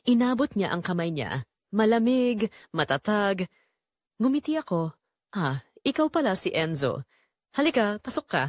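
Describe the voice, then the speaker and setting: Woman acting as a lonely widowed mom narrating her audio drama, wistful and yearning, very expressive pitch, close dry sound. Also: normal speed, pauses often, no room sound. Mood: quietly yearning. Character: lonely middle-aged widowed mother, wistful and secretly yearning. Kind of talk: first-person dramatic audio story narration.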